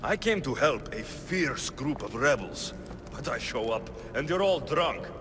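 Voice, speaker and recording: An actor playing a confident, wry ancient Greek mercenary hero in a video game, speaking in a direct, dry-humored way. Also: male voice, medium pitch, animated, measured pace, close to an everyday voice. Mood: matter-of-fact. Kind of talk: dramatic video game cutscene acting. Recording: reverberant hall, music behind.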